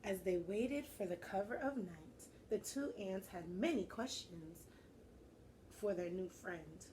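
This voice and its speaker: A woman reads a children's story aloud like a teacher. Her pace is unhurried and her tone mellow. She is encouraging and stops to pose questions, with faint noise behind her. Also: mid-range voice, lively intonation, poor audio. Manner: encouraging, pauses to question young listeners. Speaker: teacher reading a picture book aloud to children. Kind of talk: animated read-aloud with teaching asides.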